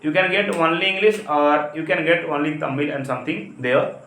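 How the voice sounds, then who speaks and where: A man from India with an Indian accent and Hindi as his first language, giving an explanatory English lesson as a teacher, with halting, repetitive phrasing. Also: fairly high pitch, sharp tone. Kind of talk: spoken lesson, explanatory talk. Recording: echoey, roomy sound.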